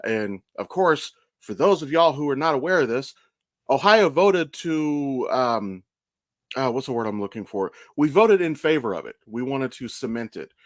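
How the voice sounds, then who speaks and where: An American man co-hosting a podcast, chatting casually and telling stories in a blunt, animated way with plenty of swearing. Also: medium pitch, normal speed, no room sound, studio quality. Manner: blunt, profane, animated storytelling. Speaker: podcast co-host. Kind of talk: casual chat.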